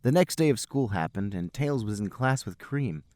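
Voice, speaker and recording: A man's voice: medium pitch, expressive, normal speed, darkish tone, no echo, studio quality. A man hosting a podcast, reading comedic narration aloud. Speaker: podcast host and reader.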